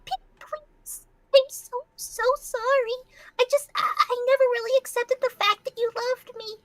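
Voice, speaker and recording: A woman's voice: very high-pitched, fairly even intonation, measured pace, pushed and bright, dry recording, pitched above the performer's own voice, exaggerated voice, poor audio. A woman voicing a fragile, hurt female cartoon pony in a dramatic, pleading manner. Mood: frightened pleading.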